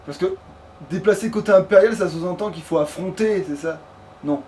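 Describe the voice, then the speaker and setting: A man's voice: high voice, moderate pace, mellow. A man giving casual, informal, sweary commentary while playing a video game. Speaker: let's player playing a fantasy game. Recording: distant mic, faint room noise.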